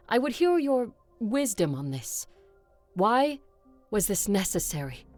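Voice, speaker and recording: Ancient vampire leader, low voice, earnest, measured, audio drama, musical backing. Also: female voice, a little mellow. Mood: formal, pressing. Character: solemn, determined female vampire leader, centuries old. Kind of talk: dramatic acting with first-person narration.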